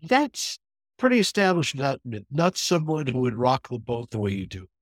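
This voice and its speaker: A man's voice: medium pitch, wildly swooping pitch, moderate pace, no room sound, studio quality. A man hosting an interview, delivering a prepared introduction and putting questions to a guest. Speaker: interview host.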